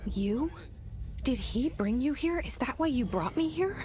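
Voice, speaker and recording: A woman acting a haunted, determined young woman in a video game, speaking with halting intensity. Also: sits high, lively intonation, noisy background. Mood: searching, tense.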